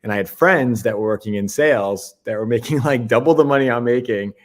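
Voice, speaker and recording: A man's voice: medium pitch, fairly quick. A man with a Boston accent, a guest telling stories in a laid-back way during a casual interview.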